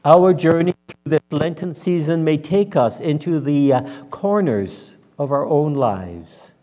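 A man in his sixties, a minister preaching and praying in a measured, solemn, deliberate manner. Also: a little high, dark tone. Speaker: minister leading worship. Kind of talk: sermon and public prayer. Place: church sanctuary, at the front and pulpit. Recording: reverberant church hall.

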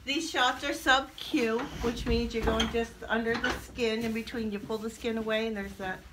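A middle-aged woman, a farmer, explaining animal care and cooing softly to the animals, recorded by a phone a few feet away in a barn. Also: on the high side, fairly even intonation, normal speed, noisy background. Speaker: alpaca farmer. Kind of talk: casual explanation. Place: cold wooden barn indoors. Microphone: phone camera a few feet away.

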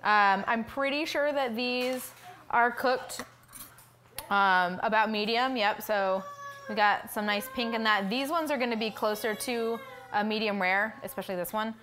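A woman's voice: on the high side, measured pace, slight background noise. A woman in her thirties from South Florida hosting a casual cooking stream, musing aloud with lots of ums as she cooks and tastes food in her home kitchen. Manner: relaxed, thinking aloud with many ums while cooking and tasting. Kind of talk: casual cooking livestream chat.

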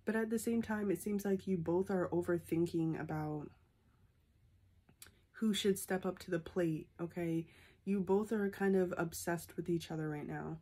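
A woman's voice: lowish voice, steady tone, normal speed, warm tone. A young woman with a stuffy, congested voice from a sinus infection, reading tarot into a phone camera in a small room, ending most points with "okay?". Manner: repeats a questioning "okay?" after nearly every point. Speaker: tarot reader. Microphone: phone camera at arm's length.

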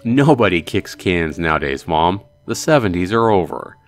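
A glum visual novel schoolboy, read by a man in a youthful, grumbling voice. He sounds sulky and dejected, with expressive pitch over background music. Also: moderate pace.

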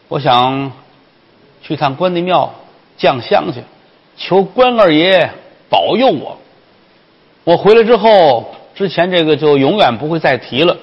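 A man voicing a polite, quietly cunning young courtesan with measured, hesitant delivery in comic storytelling. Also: a little high, husky, in a large hall, highs cut off, pitched above the performer's own voice, full character voice.

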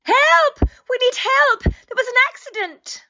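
A woman's voice: very high voice, expressive, slow, pushed and bright, exaggerated voice. A woman playing an anxious, literal-minded female assistant in an audio drama. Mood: frightened. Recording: slightly dull, lo-fi sound.

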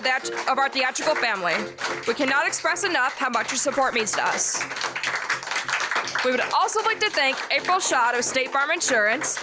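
A teenage girl delivers scripted radio announcements in a high voice. Music plays loudly behind her throughout. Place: school library room, stage microphone. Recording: loud music under the voice. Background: loud music.

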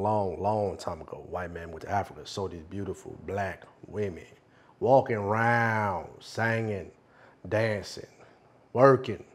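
A man playing a brash, bullying older strip club boss in an acted film monologue, streetwise and repetitive, with drawn-out emphasis. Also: fairly low pitch, husky, voice pushed down.

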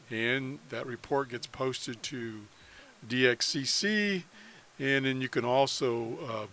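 A man's voice: medium pitch, bright, forceful tone. An American man giving a casual screen-share tutorial as a hobbyist, unscripted and thinking aloud as he goes. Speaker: amateur radio hobbyist demonstrating a website.

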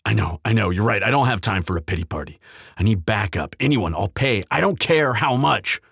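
A man playing a guilt-ridden bodyguard in love in a roleplay audio drama, speaking in an urgent, halting, earnest way. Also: lowish voice, animated, creaky, a bit bright, breathy edge, no room sound, studio quality, exaggerated voice. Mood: frantic.

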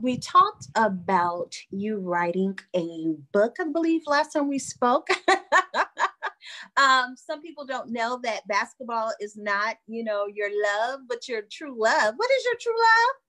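A woman's voice: on the high side, lively intonation, measured pace, sharp tone. Chatty woman hosting a video interview. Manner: chatty, encouraging, laughs along with guest. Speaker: host. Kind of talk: casual video call interview. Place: living room with fireplace, indoors. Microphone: video call webcam.

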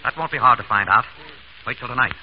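An actor playing a confident, quick-witted doctor turned amateur detective in a vintage radio comedy-mystery, glib and authoritative. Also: male voice, medium pitch, close to an everyday voice. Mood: calm authority. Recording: noisy, muffled vintage radio broadcast.

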